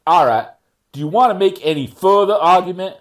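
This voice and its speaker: A man reading the part of a judge in a courtroom readers theater piece, with formal, deliberate and measured legal phrasing. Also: high voice, lightly acted. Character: male judge ruling at a probation revocation hearing.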